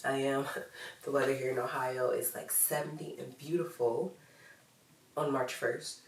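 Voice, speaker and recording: A woman's voice: very deep voice, steady tone, measured pace. Casting: American woman, thirties, stuffy nasal voice, loose chatty vlog delivery, recorded off-mic in an echoey room. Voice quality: nasal, congested. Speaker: fitness vlogger. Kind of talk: casual vlog update to camera. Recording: roomy echo, distant microphone.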